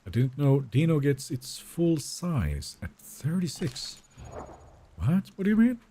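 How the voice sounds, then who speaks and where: Lively, husky-voiced man streaming a game. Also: fairly high pitch, takes it slowly. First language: Finnish. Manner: casual, chatty, answers viewers' messages. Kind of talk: live gameplay stream, reading chat aloud.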